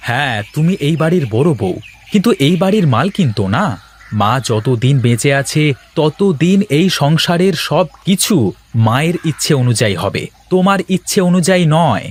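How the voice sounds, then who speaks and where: A man's voice: a little high, lively intonation, moderate pace. A man voicing a reasonable village husband, loyal to his mother, in a Bengali cartoon story. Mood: firm.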